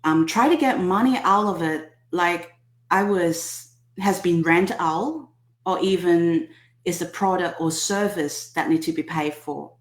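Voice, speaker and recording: A woman, a Cantonese speaker, telling her life story as an interview guest in a halting, soft-spoken way with many pauses. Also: on the low side, roomy sound. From Hong Kong. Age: 30s. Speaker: guest, healing mentor.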